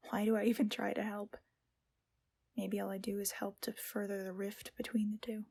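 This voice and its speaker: A young-sounding woman plays a weary, unheeded mediator who narrates a couple's quarrel in a measured, resigned way in an audio drama. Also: mid-range voice, little pitch movement, vocal fry, natural voice.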